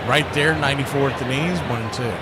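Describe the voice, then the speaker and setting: A man's voice: medium pitch, fast talker, over loud music, rough recording. A man calling a live baseball game as a radio play-by-play announcer. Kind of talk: live sports commentary.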